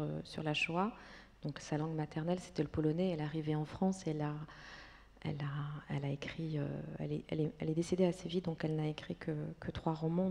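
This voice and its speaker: A woman author speaking on a live panel, quiet and hesitant, with frequent fillers. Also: low-pitched. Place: theatre stage. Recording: long hall reverb.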